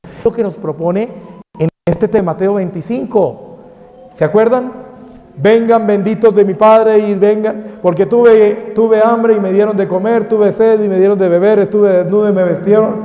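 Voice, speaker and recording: A man's voice: high voice, fairly even intonation, normal speed. A man, a priest, preaching a sermon in a parish hall and firing off rhetorical questions to the crowd. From Colombia. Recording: dull sound with long hall reverb.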